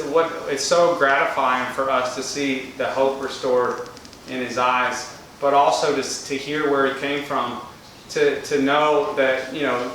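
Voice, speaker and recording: A man hosting a live event who introduces the next speaker in a rambling, halting way with many fillers. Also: medium pitch, sharp tone. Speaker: event host. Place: large echoey event room. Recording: echoey room, distant mic, faint noise.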